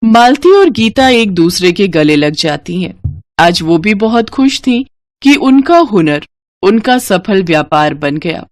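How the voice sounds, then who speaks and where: A woman's voice: medium pitch, very animated, measured pace, dry recording. A woman narrating a scripted moral story as storyteller.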